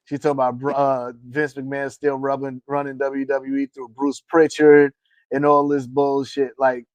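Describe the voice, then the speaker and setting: A man's voice: medium pitch, completely flat delivery, moderate pace, no echo. A man co-hosting a casual wrestling podcast, talking bluntly with heavy slang and constant cursing. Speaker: podcast co-host. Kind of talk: casual profane chat about pro wrestling.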